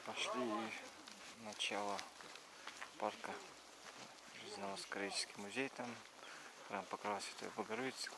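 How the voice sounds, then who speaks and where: A middle-aged man walks through a snowy park narrating his own trip, his voice gravelly and his words halting and repetitive. Heavy outdoor noise surrounds him, picked up by the camera's own mic. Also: lowish voice, steady tone. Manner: halting, loose, repeats himself. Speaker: travel vlogger. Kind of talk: casual walking commentary.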